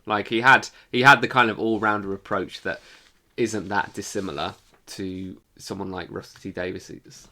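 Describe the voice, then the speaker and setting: A man with a fairly low, bright voice chats as a podcast co-host. His delivery is casual and informal, like reviewing something with a friend. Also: fairly even intonation, moderate pace. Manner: informal, mildly sweary.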